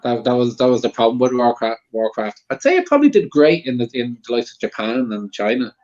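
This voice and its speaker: A man with a mid-pitched voice chats informally as a guest panelist. The recording is a little dull and lo-fi. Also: very animated, brisk, darkish tone. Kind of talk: casual film debate chat. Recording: slightly dull lo-fi sound.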